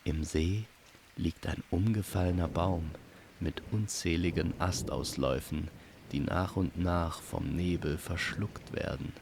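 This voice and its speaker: A man reads a fantasy tale as a measured storyteller. His voice is low and creaky, and he takes his time with each phrase. Rain is clearly audible behind him. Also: a little mellow, a little hiss or hum. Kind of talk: scripted fantasy story narration.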